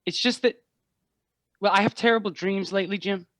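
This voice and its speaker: An actor playing a troubled, haunted young man, a younger brother, in a halting radio drama performance. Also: sits high, fairly even intonation, a bit bright, no echo, clean studio sound. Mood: reluctant, uneasy. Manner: hesitant, halting.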